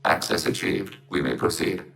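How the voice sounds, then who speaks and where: A man's voice: deep voice, moderate pace, creaky, fairly bright tone, faint music behind. A man playing a synthetic machine intelligence in a video game, speaking in literal, formal, emotionless phrases through a robotic filter. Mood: detached, logical.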